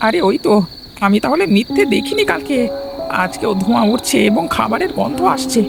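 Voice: mid-range voice, expressive, brisk, vocal fry, background noise. An actor playing a suspicious, insistent and gossipy villager in a horror cartoon. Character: suspicious villager snooping on a haunted eatery. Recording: music behind the voice.

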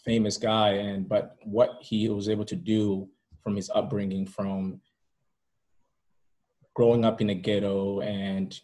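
A man from Rwanda with a fairly low voice speaks as a guest in a casual interview. He tells his story haltingly, repeating words and dropping in "you know" as he goes. It is recorded over a video call. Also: little pitch movement, darkish tone. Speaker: podcast guest. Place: indoor room at home, video call.

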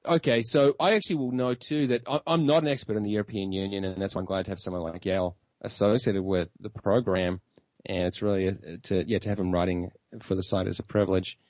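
A man hosts a talk radio program, chatting briskly with a guest and often restarting his sentences. His voice sits on the low side. The audio is dry and dull, with a lo-fi edge. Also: steady tone, a little mellow, dry recording. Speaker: radio show host.

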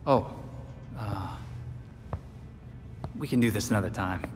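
A man's voice: lowish voice, slow, a little mellow. An actor playing a young adult male vigilante, wry but burdened, in a video game cutscene. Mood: wry, evasive. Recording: long hall reverb, music underneath. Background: music.

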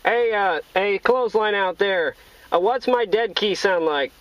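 A man's voice: high-pitched, unhurried. A man chatting in CB radio slang, calling out repetitively from inside a vehicle. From Iowa, United States. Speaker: CB radio operator. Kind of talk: casual CB radio chat in radio slang. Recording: noisy, muffled in-car CB radio recording.

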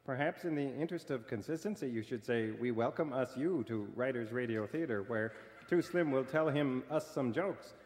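A man's voice: medium pitch, normal speed, darkish tone, in a large hall, a little hiss or hum, close to an everyday voice. A man playing a fussy, prim grammar stickler who lectures precisely in a radio comedy sketch. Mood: pedantic.

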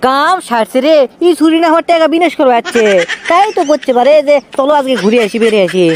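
A man's voice: very high-pitched, expressive, quick pace, noisy background. A man voicing a whiny, sulky young boyfriend in a comic cartoon, with a Bogura accent from northern Bangladesh. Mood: scheming, evasive. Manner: pleading, stubborn, breaks into teasing song.

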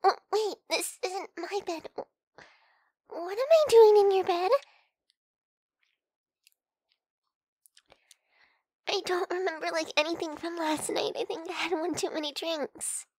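A woman playing a flustered, hesitant young woman, stammering softly in an ASMR roleplay. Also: very high voice, fairly even intonation, normal speed, dry recording. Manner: hesitant, stammering, soft-spoken with breathy whispered moments. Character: flustered young woman, hungover friend waking up in bed.

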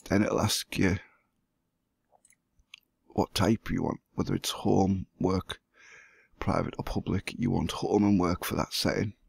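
Sick hoarse guy doing a pc tutorial, raspy deep voice, calm step by step. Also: unhurried, long pauses, no echo, studio quality. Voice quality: gravelly, husky. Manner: plain step-by-step explaining. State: hoarse from flu, losing voice. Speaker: YouTube gaming channel host. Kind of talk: screen-recorded tutorial.

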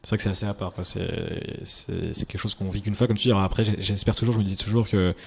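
A man hosting a podcast interview fires off follow-up questions at a very fast clip. His voice sits fairly low. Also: steady tone, vocal fry, a little mellow, husky. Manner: quick-talking, asks follow-up questions.

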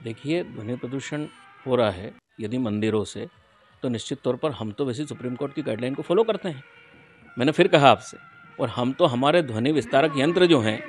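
A middle-aged man giving emphatic, deliberate political answers as an organisation's spokesman in an interview, into a handheld microphone. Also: fairly high pitch. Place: small furnished room indoors, seated on a sofa. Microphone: handheld reporter's microphone held close.

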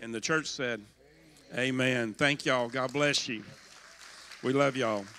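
An older man giving church announcements on a sanctuary stage, folksy and halting, on a handheld mic. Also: medium pitch, steady tone, bright, forceful tone, some chatter behind, slight background noise. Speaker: pastor.

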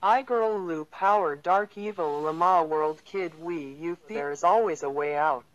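Woman, halting delivery, slow pace, slightly deep, reading out. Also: highs cut off. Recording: music under the voice.

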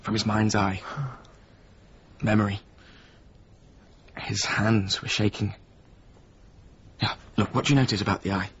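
An actor playing a weary, resentful former apprentice of a painter in a naturalistic radio drama, with a husky voice. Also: male voice, lowish voice, flat delivery, measured pace, long pauses. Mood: grave, hushed. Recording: slightly muffled radio drama recording.

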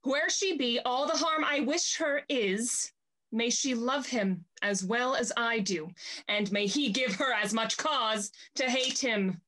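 A woman's voice: a little high, slow pace, a bit bright. A young woman playing a jealous, imperious jilted lady in a Restoration comedy, melodramatic and haughty. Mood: outraged, jealous. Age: young adult. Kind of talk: theatrical period stage acting over video call. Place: indoor room at home, on video call.

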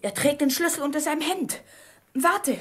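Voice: sits high, normal speed, fairly bright tone. A performer playing an eager, anxious young boy in an audio drama. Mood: anxious, urgent. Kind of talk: German audio drama acting.

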